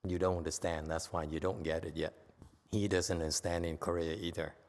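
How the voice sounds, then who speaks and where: A man's voice: low-pitched, flat delivery, brisk, a little mellow, in a large hall. Blunt teasing man with a Vietnamese accent. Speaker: Buddhist teacher. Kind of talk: dharma lecture. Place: temple lecture hall.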